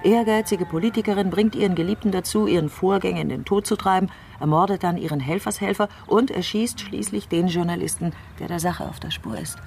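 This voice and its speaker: A woman's voice: lowish voice, a little fast, vocal fry, hardly any pauses, darkish tone, slight background noise. An actress playing a shaken, bitter female politician in a radio crime play. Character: shaken, bitter female politician recalling an attempt on her life.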